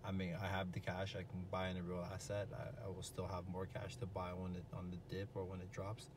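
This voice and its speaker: A man from California with a low voice lays out his views calmly and with sure confidence. He sits in the passenger seat, some distance from the phone mic. Loud road noise fills the car around him. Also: a little fast. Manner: calm, self-assured, matter-of-fact. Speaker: guest, businessman. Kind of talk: casual debate in a car. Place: inside a moving car.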